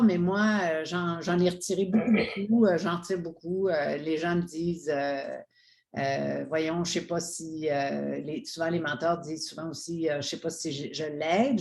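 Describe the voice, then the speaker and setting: A woman's voice: lowish voice, a little mellow. A woman with a Quebec French accent talking casually and unscripted as a mentor, hesitant and searching for her words. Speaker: mentor giving a testimonial.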